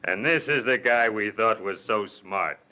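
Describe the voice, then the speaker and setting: A man's voice: fairly low pitch, unhurried, pushed and bright, dull audio. A shifty outlaw henchman. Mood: sly. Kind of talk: 1940s radio acting. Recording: hissy old radio recording.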